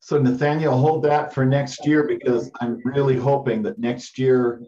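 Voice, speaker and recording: A man with a middling pitch talks conversationally, as if telling small anecdotes to a group. The audio is a little dull and lo-fi, like an online call. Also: little pitch movement, moderate pace, mellow. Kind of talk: casual chat. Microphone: online conference call.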